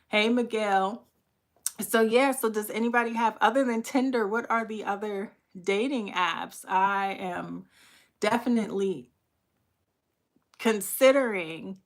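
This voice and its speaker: Casual live host, woman, raspy after the flu, slow easygoing delivery, chatty. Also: mid-range voice. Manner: chatty, laughing, greets viewers by name. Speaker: livestream host. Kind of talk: casual livestream chat with viewers.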